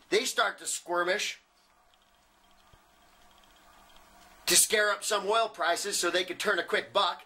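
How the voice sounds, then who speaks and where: A man's voice: high-pitched, bright, forceful tone, voice pushed up, full character voice. A man playing a cocky, cynical young working-class genius, rambling sardonically through a dramatic film monologue. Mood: bitter, sarcastic. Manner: rambling, sardonic, building chain of argument.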